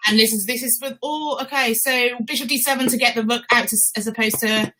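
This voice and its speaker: A woman's voice: on the high side, steady tone, fairly quick, crowd noise, rough recording. A woman hosting live chess commentary in a conversational, explanatory way, often putting questions to her co-commentator.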